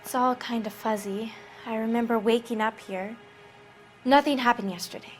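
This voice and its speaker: A woman's voice: a little high, steady tone, moderate pace, faint music behind, close to an everyday voice. An actress voicing a naive, frightened teenage girl who speaks in a timid, pleading way.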